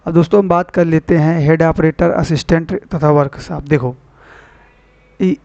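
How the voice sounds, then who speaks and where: A man's voice: on the high side, fairly even intonation, normal speed, gravelly, a little mellow. A man giving an informal explanatory lesson to students as an online teacher, repeating and rephrasing key points and addressing viewers as friends. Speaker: online exam-prep teacher and channel host. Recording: dull lo-fi voice recording.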